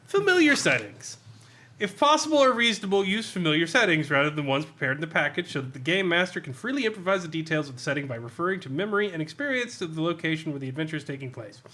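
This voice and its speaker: A man co-hosting a podcast, giving an animated, sweary comedic review of a role-playing adventure, reading bits out and laughing often. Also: on the high side, fast talker. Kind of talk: casual comedic review with read-out excerpts.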